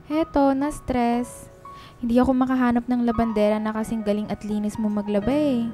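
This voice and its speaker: A woman's voice: fairly high pitch, steady tone, moderate pace, warm tone, lightly acted. A woman playing a chatty, teasing lady employer in a radio drama. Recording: music bed behind the voice.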